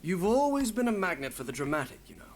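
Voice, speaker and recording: An actor playing a genial, open-minded old friend in a video game, wry and conversational with ornate wording. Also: male voice, sits high, big pitch swings, fast talker, a little hiss or hum.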